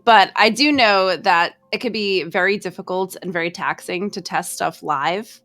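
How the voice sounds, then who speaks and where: A woman's voice: mid-range voice, moderate pace, a bit bright. A woman guest on a podcast, chatty and earnest, sharing advice with many ums and likes. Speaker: streamer guest. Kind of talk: casual advice podcast chat.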